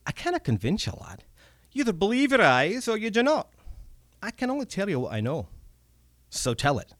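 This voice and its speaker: Man putting on a gruff red cap goblin. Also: sits high, huge pitch swings, fairly bright tone, dry recording, pitched above the performer's own voice, full character voice. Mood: wistful. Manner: gruff, folksy, drawn-out swearing.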